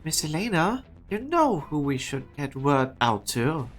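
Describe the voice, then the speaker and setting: A woman playing a steady, determined operative in a game fan dub, speaking earnestly. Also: low-pitched, measured pace, soft background music, heavily acted. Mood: resolute. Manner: earnest, resolute.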